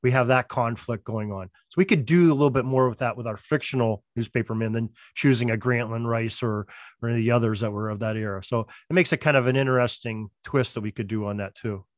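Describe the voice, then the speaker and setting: A man's voice: mid-range voice, fast talker, no echo, clean studio sound. A man in an interview explaining things casually as a producer, repeating words as he builds each point. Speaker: podcast drama producer.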